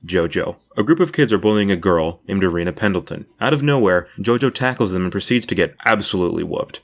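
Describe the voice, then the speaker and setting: A man narrating a scripted video retrospective as an essayist, with dry, wry asides. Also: fairly low pitch.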